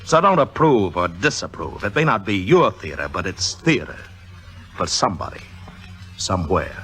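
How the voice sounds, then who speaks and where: An actor playing a brash, teasing young theater director in a 1950s Hollywood radio drama, speaking in an emphatic, wry, theatrical way. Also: male voice, sits low, big pitch swings, moderate pace, fairly bright tone. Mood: fervent, lecturing. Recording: vintage radio recording, music behind.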